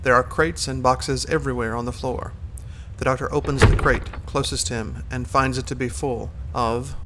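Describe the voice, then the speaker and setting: A man's voice: medium pitch, fairly even intonation, normal speed, noisy background. A man giving scripted third-person narration for an audio drama.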